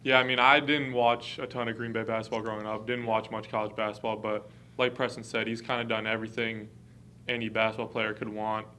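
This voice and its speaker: A man's voice: fairly low pitch, little pitch movement, brisk, pushed and bright, slight background noise. A young man, a college basketball player, giving casual, plainly worded answers at a press conference. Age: early twenties.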